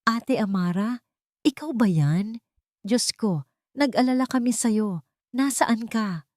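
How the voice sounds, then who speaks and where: A woman's voice: on the high side, expressive, slow pace, a little mellow, no room sound, studio quality, pitched above the performer's own voice. A woman voicing an anxious, guilty younger sister in an audiobook family drama, worried and over-eager. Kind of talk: audiobook character dialogue.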